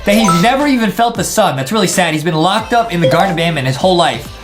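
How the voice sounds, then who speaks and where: A young man talks very quickly as a gaming YouTube host. He is slangy and casual, restating things in simple words, with music clearly behind him. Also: high-pitched, animated. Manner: slangy, explains lines simply and repetitively. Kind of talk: casual excited gaming breakdown.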